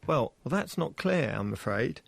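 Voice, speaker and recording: A man's voice: medium pitch, lively intonation, moderate pace, gravelly, no echo. A man explains things as an expert interviewee, in a considered, formal and precise manner.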